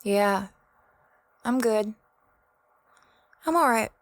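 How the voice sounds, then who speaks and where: A woman's voice: mid-range voice, expressive, extremely slow, dry recording. Lady playing a young space adventurer gal, takes her time, kinda unsure and sorry about everything, audio drama, no room sound. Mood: awkward, apologetic. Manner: hesitant, self-effacing, apologetic. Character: apologetic young female space adventurer recounting her journey. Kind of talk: audio drama dialogue and diary-like narration.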